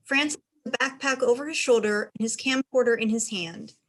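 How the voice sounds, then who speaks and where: A woman serves as narrator of a play reading. Her voice is a little high, and she reads out scripted stage directions between the actors' parts. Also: a little fast.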